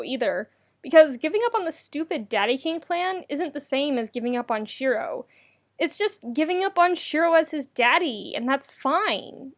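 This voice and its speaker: A woman narrating fan fiction as an audiobook, with a wry, emphatic delivery. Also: high-pitched. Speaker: podfic reader.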